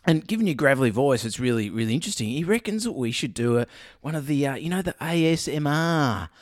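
A man's voice: fairly high pitch, animated, fast, no room sound. An Australian man, a veterinarian co-hosting a podcast, chatting casually with dry teasing humour and hesitant fillers. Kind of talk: casual banter.

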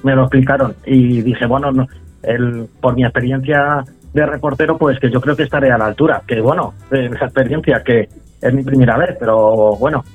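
A man's voice: medium pitch, steady tone, a little fast, phone-quality sound, soft background music. A young man from Madrid, a nervous new radio intern who stammers and keeps apologizing. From Madrid, Spain.

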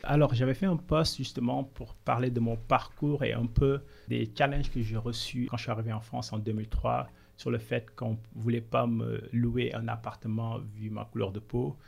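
A man's voice: medium pitch, flat delivery, normal speed, gravelly, hardly any pauses, slight background noise. A man in his thirties from Senegal, a podcast guest telling his career story in an earnest, motivational way. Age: 30s. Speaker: podcast guest, business consultant. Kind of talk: conversational interview.

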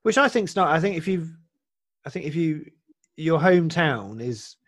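A man with a Redditch accent, hosting a casual chat and talking in an animated, easily amused way. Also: fairly high pitch, moderate pace, no room sound, clean studio sound. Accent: Redditch, West Midlands. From Redditch, England. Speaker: host.

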